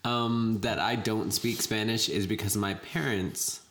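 A young woman in casual chat, lively and giggly, rambling loosely through her points. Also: extremely low pitch, unhurried.

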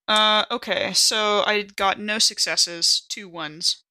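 A woman chatting casually as a player in a tabletop role-playing game, animated and a bit halting, repeating words as she thinks. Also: mid-range voice, no echo, rough recording. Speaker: player in a tabletop RPG podcast. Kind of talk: casual improvised game chat.

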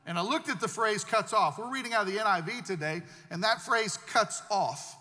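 High, bright-voiced pastor preaching in a hall. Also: male voice, a little fast. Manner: earnest preaching with repeated words and restarts. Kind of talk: sermon.

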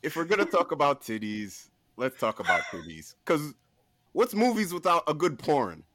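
Emphatic, playful man, slightly high voice. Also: huge pitch swings, moderate pace, fairly bright tone, dry recording, studio quality. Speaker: podcast guest. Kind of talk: casual comedic list pitch.

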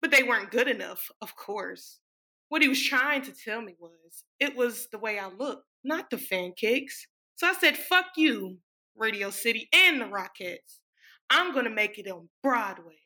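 A woman's voice: fairly high pitch, expressive, measured pace, sharp tone, heavily acted. A woman playing a brash, wisecracking young dancer from a small town in a sassy, sardonic stage monologue. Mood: bitter, defiant. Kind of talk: dramatic stage monologue reading.

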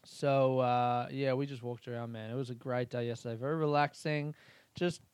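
A man's voice: medium pitch, normal speed, clean tone, no echo. A man hosting a podcast, rambling through a casual comedic monologue and swearing freely while he laughs at his own jokes. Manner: crude, swears a lot, laughs often; animated, rambling storytelling. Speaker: podcast host.

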